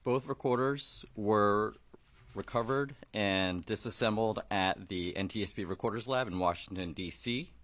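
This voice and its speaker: A man with a lowish voice gives a formal technical briefing. He sounds like a specialist investigator, laying out details factually and plainly, with a matter-of-fact manner. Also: moderate pace, a bit bright.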